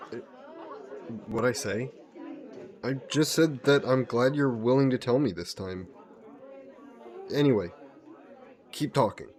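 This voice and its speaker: Casting: male actor as a lovestruck god disguised as a childhood friend, lowish voice, unhurried, gentle and evasive, for intimate roleplay audio. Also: close to an everyday voice. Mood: casual, skeptical. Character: soft yandere god posing as a childhood friend.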